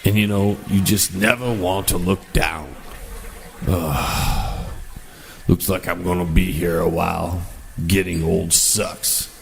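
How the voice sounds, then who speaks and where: Man acting a gravelly, cranky old uncle. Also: sits low, steady tone, takes it slowly, a little hiss or hum, exaggerated voice. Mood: grumbling. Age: older. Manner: grumbling, sarcastic, crude. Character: cranky, lewd old uncle with a bawdy streak. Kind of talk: scripted animated comedy acting.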